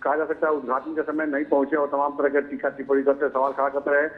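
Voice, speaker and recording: A male bureau chief reports live from an outdoor event, his voice coming through a thin, phone-like line. He speaks a little high and fairly flat, padding his sentences with fillers and repeated phrases. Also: quick pace. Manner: filler-heavy, repeats "jo hai" and "dekhiye". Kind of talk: live news field report. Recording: tinny phone-line audio.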